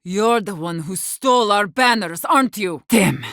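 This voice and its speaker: A woman's voice: mid-range voice, expressive, measured pace, fairly bright tone, dry recording, studio quality. An actress playing a defiant, battle-hardened woman who leads exiled wardens in a video game, with a blunt, commanding manner. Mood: accusing, threatening. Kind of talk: video game character dialogue.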